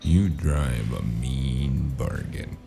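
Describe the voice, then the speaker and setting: An actor playing a world-weary, terse and wry hero in a noir audio drama, with brooding, laconic delivery. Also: male voice, very deep voice, drawn-out words, creaky, dark tone, soft background music, exaggerated voice. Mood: irritated. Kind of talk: noir first-person narration and dialogue.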